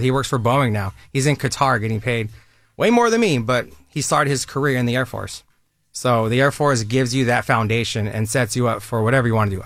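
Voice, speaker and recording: A man in his thirties talks in a persuasive, friendly way, like a recruiter on a radio interview. His voice sits at a middle pitch. The sound is dry and close with no room echo. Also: a bit bright. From Southern California. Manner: upbeat, persuasive, conversational. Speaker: military recruiter guest.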